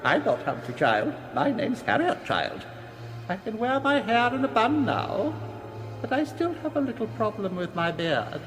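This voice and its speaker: An actor playing a madman as his coy, eerie old mother, in a sing-song falsetto for a gothic radio drama. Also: big pitch swings, unhurried, a bit bright, big-room sound, soft background music, heavily acted. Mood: coy, unhinged.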